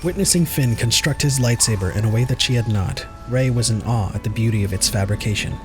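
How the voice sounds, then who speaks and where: A man's voice: mid-range voice, a little fast. A man narrating a fan audio drama in a scripted, dramatic storybook style. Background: prominent music.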